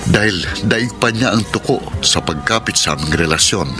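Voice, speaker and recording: A man's voice: on the low side, moderate pace, natural voice. A male actor with a Filipino accent playing a weary, defiant young womanizer in a radio drama. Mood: reflective, earnest. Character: weary, defiant young womanizer boyfriend narrating his love story. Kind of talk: Filipino radio drama acting and letter narration. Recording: music bed under voice.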